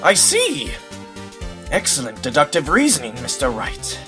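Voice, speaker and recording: A man's voice: fairly high pitch, huge pitch swings, measured pace, over music. A man voicing a flustered, formal older judge who exclaims his questions in an amateur courtroom fan dub. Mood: inquisitive.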